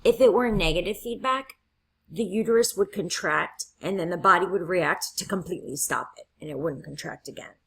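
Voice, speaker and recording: Husky-voiced woman lecturing students. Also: lowish voice, a little fast, rough recording. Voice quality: husky, gravelly. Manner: explains step by step, checking in with "right?". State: losing her voice. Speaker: college instructor. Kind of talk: classroom lecture.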